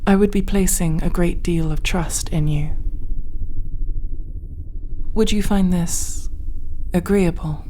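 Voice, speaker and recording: A woman playing a formal, curious, earnest alien crewmate in an intimate sci-fi ASMR roleplay, with precise, literal wording and a soft, breathy voice often close to a whisper. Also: lowish voice, steady tone, slow, pauses often, a little hiss or hum. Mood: earnest, careful.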